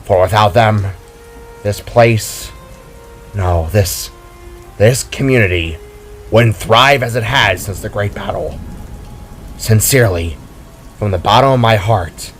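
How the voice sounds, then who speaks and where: A man's voice: lowish voice, slow pace, long pauses, a bit bright, faint music behind, pitched below the performer's own voice. A man voicing a tiny, kindly animal mayor who repeats and stammers over his words in a story. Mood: sincere, grateful.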